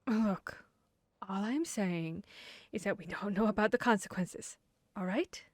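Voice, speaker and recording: A woman playing a guarded, bashful vampire hunter in an intimate ASMR roleplay, speaking earnestly and haltingly. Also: medium pitch, no echo, lightly acted. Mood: flustered, awkward. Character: guarded, bashful female vampire hunter confessing tender feelings. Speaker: audio roleplay voice actor.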